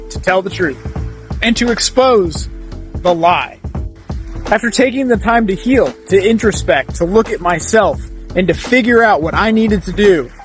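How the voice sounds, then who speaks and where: A man, a life coach co-hosting a podcast, introducing himself in slow, deliberate short phrases. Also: high-pitched. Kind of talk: personal introduction, reflective monologue.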